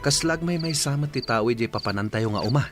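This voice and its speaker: A man's voice: mid-range voice, expressive, fast, music in the background, close to an everyday voice. An actor playing an obedient henchman in an Ilocano radio drama, deferential toward his chief. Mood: obedient, alert.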